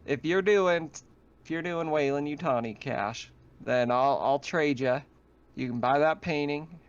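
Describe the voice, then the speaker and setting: A man's voice: fairly high pitch, unhurried, smooth, natural voice. A man playing a stubborn colony shopkeeper who haggles over prices in an improvised tabletop roleplaying game. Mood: stubborn, pushy. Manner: haggling, bargaining sales talk. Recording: muffled online voice call.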